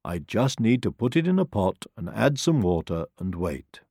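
A man voicing a sly, courteous, unhurried big brown wolf in a storybook reading. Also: fairly low pitch, sing-song, vocal fry, warm tone, breathy edge, no room sound, clean studio sound, heavily acted. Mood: coaxing. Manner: polite, murmuring, deliberate. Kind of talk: storybook character voice.

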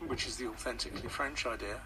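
A man's voice: medium pitch, quick pace, noisy background. A man, an author being interviewed on radio, speaking thoughtfully and hesitantly, often repeating words as he thinks.

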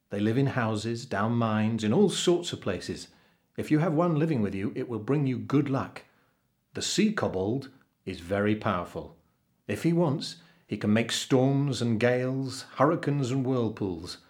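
A man's voice: medium pitch, a little mellow, natural voice. Man playing a kindly, reassuring ship's cook.

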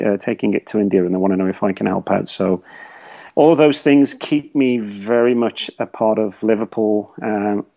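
Gravelly middle-aged Midlands man. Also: on the low side, highs cut off. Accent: English Midlands. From English Midlands. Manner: rambling, frequent fillers and restarts. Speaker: podcast guest. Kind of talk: casual podcast chat.

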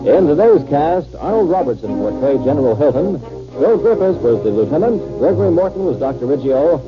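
Animated 1950s radio announcer man over music. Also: on the high side, fairly quick. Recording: muffled 1950s radio recording.

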